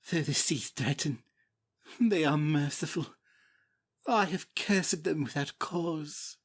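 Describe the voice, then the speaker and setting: A man's voice: on the high side, extremely slow, long pauses, breathy edge, exaggerated voice. A man playing a devoted, courtly young prince in love in a dramatic Shakespeare audiobook, with an earnest delivery. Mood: relieved.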